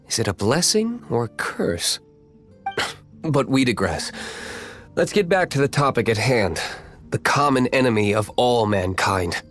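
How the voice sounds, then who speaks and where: A man's voice: mid-range voice, lightly acted. A man voicing a serious, wealthy young nobleman in a video game, cool and dryly sarcastic. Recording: game audio with music behind.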